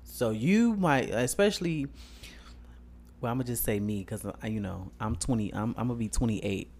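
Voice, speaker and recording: A man's voice: medium pitch, normal speed, darkish tone. Man, 20s, blunt, casual chat. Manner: blunt, swearing, laughing.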